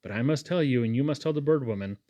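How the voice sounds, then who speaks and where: A humble young working man from a storybook, read by a man. He is earnest, shy and polite, at an ordinary pitch in a dry recording. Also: expressive, fast, mellow, dry recording, lightly acted.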